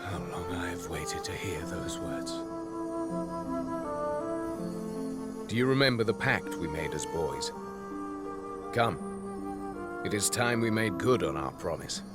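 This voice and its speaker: An actor playing a proud, bitter and wistful fallen knight in a video game, with formal, theatrical speech. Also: male voice, deep voice, animated, unhurried, over loud music. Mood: moved, resolute. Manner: theatrical, formal, breathy dramatic delivery. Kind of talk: dramatic video game voice acting.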